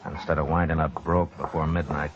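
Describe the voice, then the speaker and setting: A low-pitched, stern western marshal, terse and weary. He sounds like an old radio drama, dulled and noisy. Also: little pitch movement, brisk. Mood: glum. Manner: terse, grave, plain-spoken. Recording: dull, noisy old radio broadcast recording.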